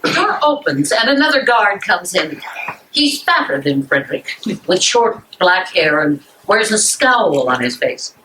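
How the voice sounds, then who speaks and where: A woman's voice: lowish voice, sing-song, measured pace, noisy background. A woman reading stage directions as scripted narration at a live reading in a room. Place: indoor room at a public library.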